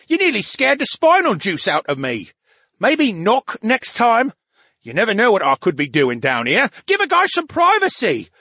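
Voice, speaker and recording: A man voicing an excitable, talkative male brain living in a tank, dramatic and whiny. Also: high voice, no room sound, studio quality, pitched above the performer's own voice, heavily acted. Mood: indignant. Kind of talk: audiobook character voice.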